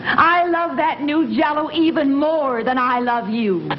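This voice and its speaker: A woman's voice: high-pitched, unhurried, noisy background. A woman in a 1960s TV sitcom, speaking sweetly in a sing-song way. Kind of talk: 1960s television sitcom comedy. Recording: dull old TV soundtrack.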